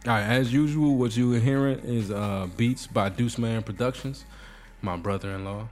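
A man's voice: mid-range voice, normal speed, a little mellow. A man with a cold and a nasal voice hosting a casual podcast, joking and bantering. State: sick, nasal. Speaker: podcast host. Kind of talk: casual chat.

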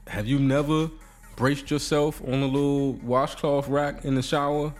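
A man's voice: mid-range voice, level tone, measured pace, faint music behind. A man with a cold and a nasal voice hosting a casual podcast, joking and bantering. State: sick, nasal. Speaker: podcast host. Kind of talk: casual chat.

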